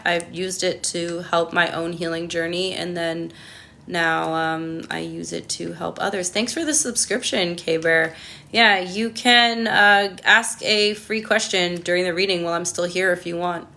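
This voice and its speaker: A woman's voice: lowish voice, pushed and bright. A Canadian woman doing a casual livestream tarot reading, chatting to viewers and answering their comments with lots of ums. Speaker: tarot reader.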